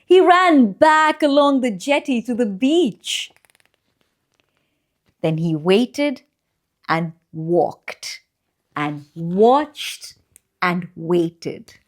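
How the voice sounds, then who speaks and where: Female children's storyteller, fairly high voice, highly varied intonation, expressive and deliberate read-aloud delivery. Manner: expressive, deliberate phrasing with drawn-out pauses for young listeners. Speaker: children's storyteller and channel host.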